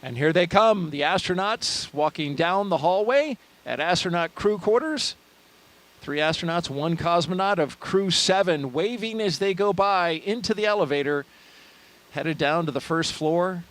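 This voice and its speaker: A man hosting a live launch broadcast, naming the crew and narrating their walkout in a steady, informative way. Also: sits high, normal speed.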